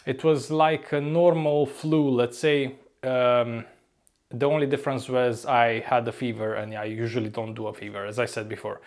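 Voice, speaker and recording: Upbeat young man, slightly hoarse. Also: medium pitch, little pitch movement, moderate pace. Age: young adult. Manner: chatty, upbeat, often says "ladies and gents". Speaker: vlogger, flight crew member. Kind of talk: casual vlog to camera. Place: apartment room, indoors. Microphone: lapel mic.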